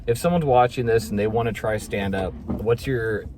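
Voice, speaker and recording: Man, comedian host, lowish voice, crude jokes, teasing, road noise in car. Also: normal speed. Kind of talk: casual interview while driving. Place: inside a car.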